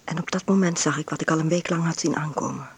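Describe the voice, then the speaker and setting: An actress playing a frail, ailing bedridden mother in an intimate radio drama monologue, breathy and often near a whisper, with halting short phrases. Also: deep voice, heavily acted. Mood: tense, absorbed. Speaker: actress narrating in a radio play.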